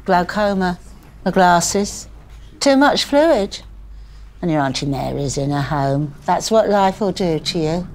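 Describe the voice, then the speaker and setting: An actress playing a grieving older widowed mother in a drama, rambling and plaintive, with deadpan wit and stubborn pleading. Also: lowish voice, unhurried. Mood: bitter, wry.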